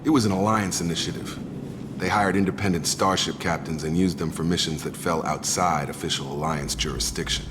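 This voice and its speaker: Brief: actor as a blunt, tired male veteran, very low register, game audio with hiss. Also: fairly even intonation, a little fast, lightly acted. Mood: frank. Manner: frank, world-weary. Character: weary, candid male ex-soldier. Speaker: game character. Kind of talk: video game dialogue. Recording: game audio with long reverb and clear hiss.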